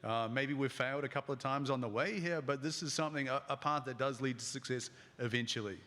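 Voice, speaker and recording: A man talking conversationally in a panel discussion, thoughtful and halting as he builds his points. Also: mid-range voice, bright, forceful tone. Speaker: panel participant. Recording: reverberant hall, live event.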